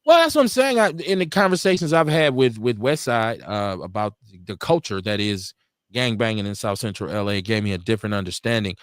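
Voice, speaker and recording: Animated, lively-pitched man in his forties. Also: fairly quick, no room sound, clean studio sound. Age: 40s. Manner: animated, emphatic, restarts often. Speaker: podcast host. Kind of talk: casual chat.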